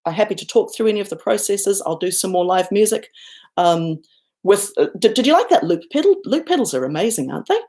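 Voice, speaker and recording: Gravelly-voiced New Zealand woman chatting live. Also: darkish tone. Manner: chatty and self-deprecating, bursts up high when thanking viewers. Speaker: YouTuber hosting a livestream Q&A. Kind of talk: casual livestream chat reading viewer comments. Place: home, looking out over a garden and lake.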